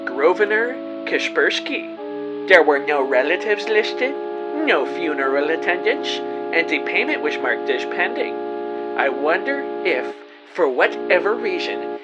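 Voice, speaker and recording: An actor playing an earnest, excitable, bumbling amateur detective, narrating his case melodramatically in an audio drama. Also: on the high side, a little slow, muffled recording, over loud music. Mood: intrigued, determined. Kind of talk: scripted first-person audio drama narration.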